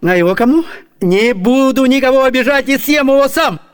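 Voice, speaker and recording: A man's voice: very high voice, expressive, fairly quick, clean tone. A man playing a gluttonous, scheming, cheeky young servant in a comic radio play, theatrically muttering and exclaiming to himself. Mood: puzzled, scheming.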